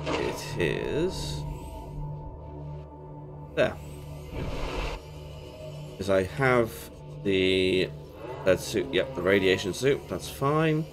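A man's voice: low-pitched, sing-song, drawn-out words, long pauses. A young British man hosting a casual game playthrough, chatty and rambling, a bit congested from a cold. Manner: chatty, rambling, self-correcting. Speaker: gaming channel host. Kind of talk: casual let's play commentary. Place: home gaming setup, indoors. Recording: game music playing under voice.

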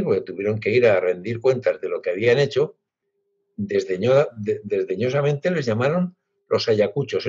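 A man's voice: fairly low pitch, a little mellow, husky. A Spanish man in his sixties, a guest author, speaking reflectively with many restarts over a video call from his home library. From Spain, Basque Country. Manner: reflective, halting with frequent restarts. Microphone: laptop webcam on video call. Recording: dull lo-fi video call audio.

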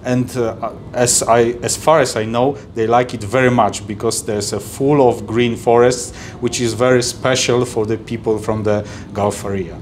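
A middle-aged man from Poland with a Polish accent, a trade agency executive answering interview questions in halting English full of fillers and restarts, recorded in an office by a camera at a distance. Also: mid-range voice, steady tone, a little hiss or hum. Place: office, indoors.

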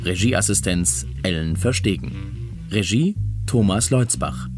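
A man's voice: low voice, expressive, a little slow. A man reading scripted credits as the announcer of a German radio drama. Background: guitar music.

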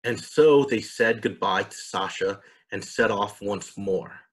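Guy reading a kids story out loud, kinda husky voice. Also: fairly low pitch, a little slow. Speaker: storybook reader. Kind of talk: scripted children's story narration.

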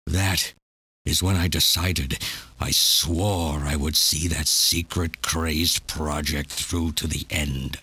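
An actor playing an elderly, obsessed scientist villain in a video game, delivering a bitter, deliberate, theatrical confession. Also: male voice, very deep voice, steady tone, sharp tone, slightly rough, no room sound, heavily acted. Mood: bitter, grave. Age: older. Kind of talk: dramatic video game voice acting, monologue. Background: game music.

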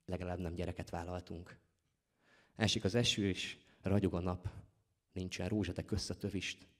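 Deep-voiced man performing slam poetry. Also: level tone, a bit bright. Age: 30s. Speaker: slam poet. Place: on stage at a live slam poetry championship in a theatre.

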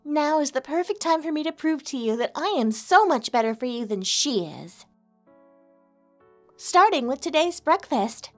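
A woman's voice: high-pitched, sing-song, moderate pace, faint music behind. A woman playing a sweet, clingy, possessive young neighbor in love, coyly addressing the listener in an ASMR roleplay. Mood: lovestruck, possessive. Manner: coy, sugary, insinuating, hesitant.

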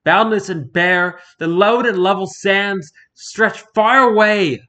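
A man's voice: high-pitched, takes it slowly, sharp tone, pitched above the performer's own voice, exaggerated voice. A man voicing a solemn traveler who theatrically recites grim verse about a fallen king. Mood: grandiose. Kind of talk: dramatic poetry recitation.

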